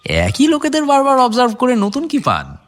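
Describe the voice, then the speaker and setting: An actor playing an inquisitive junior police investigator in a radio drama, talking in questions. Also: male voice, very high-pitched, wildly swooping pitch, fairly quick, a bit bright, exaggerated voice.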